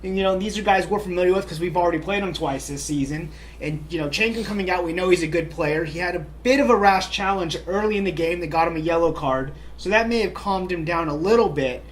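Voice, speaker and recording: High-voiced upbeat man co-hosting a soccer show. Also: fast, hardly any pauses, a little hiss or hum. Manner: upbeat, chatty, many "you know" fillers. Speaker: podcast co-host. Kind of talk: casual sports chat.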